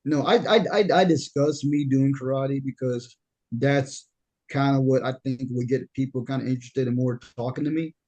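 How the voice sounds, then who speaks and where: A young man with a deep voice hosting a casual podcast chat, often stammering and repeating words as he tells stories. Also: normal speed, darkish tone, lo-fi sound. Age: young adult. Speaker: podcast host.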